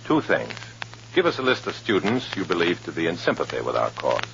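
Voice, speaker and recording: An actor playing a guarded, sober undercover agent, narrating and acting in an old radio drama. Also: male voice, sits low, wildly swooping pitch, brisk, vocal fry, a bit bright, slight background noise, full character voice. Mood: smooth, persuasive. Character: guarded, tense male undercover agent posing as loyal communist. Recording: dull old radio recording, music behind.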